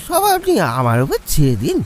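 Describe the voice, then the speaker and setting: A woman's voice: high voice, wildly swooping pitch, a little fast, darkish tone. A woman voicing an eager, self-assured village man in a horror cartoon, with an insistent manner. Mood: eager, confident. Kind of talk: cartoon horror story acting.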